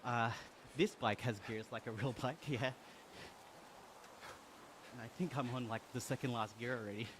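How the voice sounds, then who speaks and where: A man's voice: mid-range voice, moderate pace, pauses often, noisy background. Chatty Australian man streaming while cycling. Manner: upbeat, laughs often, friendly and chatty. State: exercising on an indoor bike while talking. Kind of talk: casual live-stream chat, reading viewer comments.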